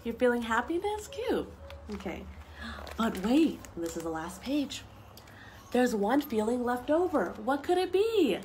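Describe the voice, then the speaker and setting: A young woman reading picture books aloud to children, expressive and explaining things simply as she goes. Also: a little high, measured pace, slight background noise. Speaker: story time reader for children. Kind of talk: children's picture book read-aloud with asides. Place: outdoors on a wooden deck among trees.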